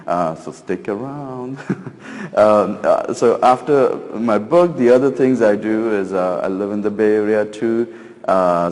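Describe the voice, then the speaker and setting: A man's voice: lowish voice, darkish tone. A middle-aged man giving a casual, self-deprecating talk as a conference speaker, with many fillers. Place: conference stage in a large hall. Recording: long hall reverb.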